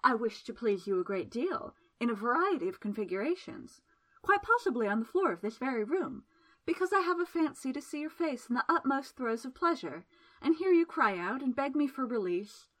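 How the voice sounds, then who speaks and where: A woman's voice: sits high, very animated, fairly quick, pitched above the performer's own voice, exaggerated voice. A woman voicing Miss Absalom, a teasing temptress. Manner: soft, coaxing and flirtatious. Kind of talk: audiobook character voice.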